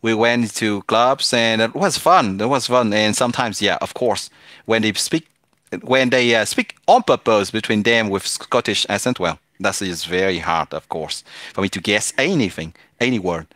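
A man's voice: on the low side, moderate pace, fairly bright tone, no echo. A man from France with a French accent, chatting casually with viewers while streaming a mobile game, hesitating often with fillers and restarts.

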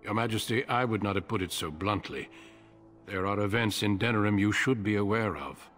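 An actor playing a grave, steady veteran warrior commander in a fantasy video game, husky and speaking with solemn formality. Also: male voice, lowish voice, brisk, soft background music, lightly acted. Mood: formal, restrained.

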